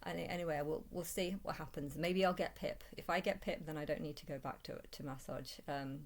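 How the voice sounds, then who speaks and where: Woman, low voice, rambling vlog monologue, halting delivery, frequent repeats and restarts. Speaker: vlogger.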